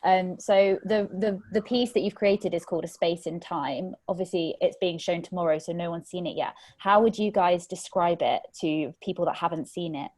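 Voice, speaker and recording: A woman hosting a casual interview over a video call, asking questions in a relaxed way with fillers. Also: fairly low pitch, moderate pace, few pauses. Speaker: host.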